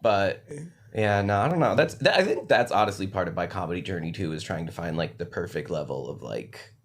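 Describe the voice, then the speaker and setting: A man's voice: deep voice, animated, brisk. A man talking casually as a comedian guest on a podcast, telling anecdotes in a conversational, rambling way.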